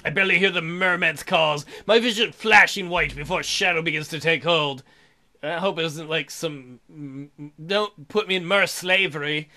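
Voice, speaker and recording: High-pitched, bright-voiced man joking while reading. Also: normal speed, soft background music. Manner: irreverent, crude joking asides, theatrical outbursts. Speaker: let's play host. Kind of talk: reading a visual novel aloud with joking commentary.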